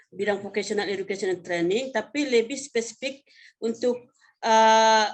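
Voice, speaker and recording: Middle-aged Indonesian woman presenting, conversational. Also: fairly high pitch. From North Sumatra, Indonesia. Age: 50s. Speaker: vocational education professor presenting a webinar. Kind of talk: informal slide presentation.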